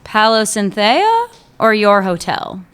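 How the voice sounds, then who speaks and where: A woman's voice: mid-range voice, very animated, slow, a bit bright, clean tone. A woman playing a sly woman police chief who teases an old lover, in a radio play. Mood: probing. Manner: teasing, needling, wry. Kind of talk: amateur radio drama acting.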